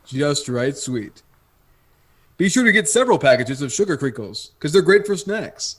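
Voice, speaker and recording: A man's voice: fairly high pitch, very animated, moderate pace, slightly rough. A man reading a 1950s-style radio commercial as an announcer, with folksy salesmanship. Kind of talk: recreated 1950s radio advertising pitch.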